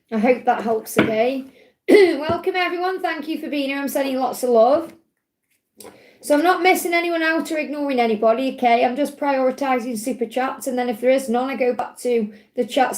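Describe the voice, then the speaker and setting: A woman with a slightly croaky voice, giving gentle spiritual advice on a live stream and often checking in with "okay?". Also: high voice, a little fast. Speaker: spiritual reader answering live chat questions. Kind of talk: live-stream Q&A, casual and reassuring. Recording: echoey room.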